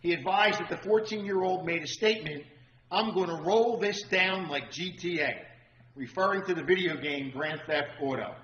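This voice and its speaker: A man with an American accent and a husky voice, giving a deliberate, emphatic official statement as at a press briefing. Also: high-pitched, fairly bright tone. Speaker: sheriff's official. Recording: dull, muffled audio.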